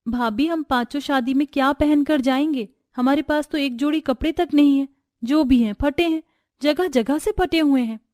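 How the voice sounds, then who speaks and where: A woman's voice: high voice, normal speed, darkish tone, dry recording, studio quality, pitched above the performer's own voice, natural voice. A woman voicing a sweet, innocent and brave young sister-in-law from a poor home, with an eager girlish manner. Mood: worried. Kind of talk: Hindi family moral-story acting.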